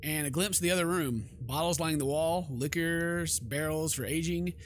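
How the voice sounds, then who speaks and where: A man's voice: fairly high pitch, normal speed, slight background noise. A man doing let's play narration of a horror visual novel, with playful slang and joking asides.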